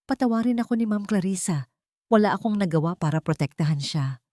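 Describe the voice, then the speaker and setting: A woman's voice: mid-range voice, expressive, brisk, warm tone, dry recording, studio quality, voice pushed up, close to an everyday voice. A woman voicing a loyal elderly housekeeper in an audio drama, earnest, emotional and fiercely resolute. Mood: anguished. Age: older.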